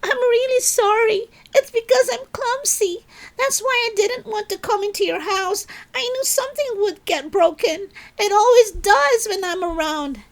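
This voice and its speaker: A woman's voice: extremely high pitch, unhurried, voice pushed up. A woman voicing a clumsy, anxious, apologetic schoolgirl with an earnest, self-doubting manner in a children's storybook. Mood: distraught, apologetic. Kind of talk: children's storybook read-aloud.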